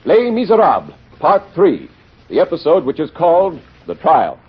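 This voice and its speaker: A man's voice: fairly high pitch, wildly swooping pitch, moderate pace, heavy background noise. A man announcing a programme in the formal style of 1930s radio. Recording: muffled old radio broadcast recording.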